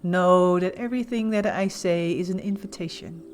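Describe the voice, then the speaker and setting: A woman leading a guided meditation as an instructor, giving gentle, soothing, softly spoken instructions with pauses. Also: fairly low pitch.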